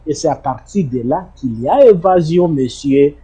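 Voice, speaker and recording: Husky-voiced Congolese man, insistent. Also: lively intonation, moderate pace, warm tone. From Democratic Republic of the Congo. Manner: insistent, repeats himself, emphatic rises and low trailing ends. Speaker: interview guest. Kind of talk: defensive testimony in an interview.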